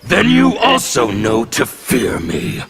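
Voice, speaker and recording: Gravelly menacing rebel zealot, slow, wildly swinging pitch, snarled game-intro lines over loud noise. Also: male voice, wildly swooping pitch, exaggerated voice. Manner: snarling, theatrical, harsh emphatic delivery. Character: menacing male revolutionary zealot, intimidating. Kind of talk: fighting game intro dialogue.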